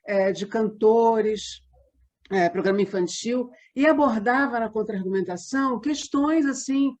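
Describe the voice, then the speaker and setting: A woman with a Brazilian Portuguese accent, an interview guest explaining conversationally, with halting digressions. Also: on the high side, a little mellow. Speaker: interview guest, theatre company member. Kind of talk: conversational storytelling.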